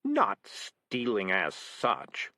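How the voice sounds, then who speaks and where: A scheming street tomcat, put on by a man reading aloud, wheedling and slick with every excuse. The voice sits a touch higher than the narration and swings lively up and down. It is close and dry, with no room around it. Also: extremely slow, no room sound, exaggerated voice. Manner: wheedling, smooth-talking. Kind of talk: audiobook character voice.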